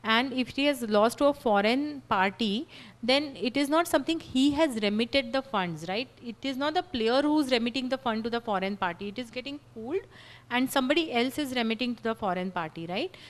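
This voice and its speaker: Woman, panelist, slightly high pitch, measured, explanatory. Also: expressive. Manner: measured, explanatory, frequent "you know" and "right?". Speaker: legal panelist. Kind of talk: panel discussion answer.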